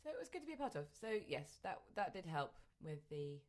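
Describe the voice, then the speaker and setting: A woman's voice: lowish voice, sing-song, brisk, a little mellow. A woman co-hosting a podcast, chatting playfully with wordy, jokey turns of phrase. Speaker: podcast co-host. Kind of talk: casual chat.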